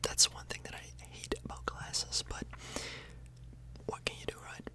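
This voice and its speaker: Whispering woman in a rambling ASMR chat. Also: unhurried. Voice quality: soft breathy whisper. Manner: rambling, hesitant, self-correcting. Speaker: ASMR YouTuber, host. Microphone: microphone a little further away than usual.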